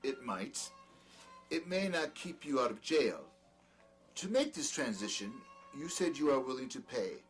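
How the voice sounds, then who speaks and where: A man playing a peculiar, condescending doctor in a drama, speaking in a formal, wordy way, smoothly charming yet scornful. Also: mid-range voice, sing-song, unhurried, faint music behind. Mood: condescending, probing. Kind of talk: dramatic dialogue in an audio play or table reading.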